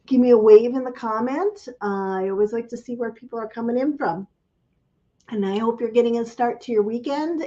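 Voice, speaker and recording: A woman chats casually on a livestream, greeting viewers by name. Her voice is mellow and a little raspy from a cold. The stream audio sounds slightly dull and lo-fi. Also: mid-range voice. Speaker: craft business owner hosting a livestream.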